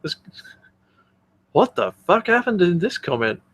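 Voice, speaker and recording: A man's voice: sits high, sing-song, a little slow, a bit bright. A man with an Australian accent chatting casually as a podcast co-host, with a joking, sweary manner.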